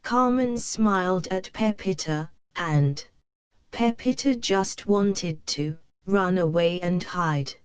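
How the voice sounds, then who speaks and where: A woman reading a children's picture book aloud, slow and careful for young learners. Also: on the low side, clear, resonant tone, dry recording. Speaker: storybook reader.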